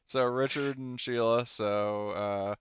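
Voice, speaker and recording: A man running a tabletop roleplaying game as game master, talking casually and haltingly as he thinks aloud through the rules. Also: medium pitch, steady tone, lo-fi sound, a little hiss or hum.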